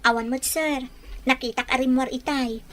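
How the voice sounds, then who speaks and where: A woman's voice: high-pitched, fairly even intonation, normal speed, a bit bright, a little hiss or hum. A woman acting as a humble, deferential household maid and devoted mother in an Ilocano audio drama. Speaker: drama actress.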